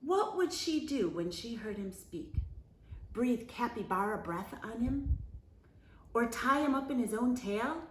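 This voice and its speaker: A woman reading a children's picture book aloud in a deliberate, expressive storyteller's manner. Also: fairly high pitch, reverberant, rough recording. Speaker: story time reader.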